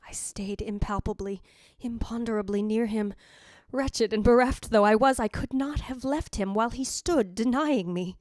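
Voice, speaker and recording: A woman's voice: on the high side, moderate pace, darkish tone, dry recording, studio quality, close to an everyday voice. A woman playing the yearning, possessive ghost of a dead wife, narrating formally in old-time radio drama style. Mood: anguished. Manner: formal, literary, theatrical delivery.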